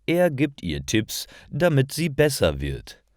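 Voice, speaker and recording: A man reading a scripted story for language learners, with careful, clearly separated phrasing. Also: mid-range voice, wildly swooping pitch, slow, dry recording, clean studio sound. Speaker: narrator of language-learning stories.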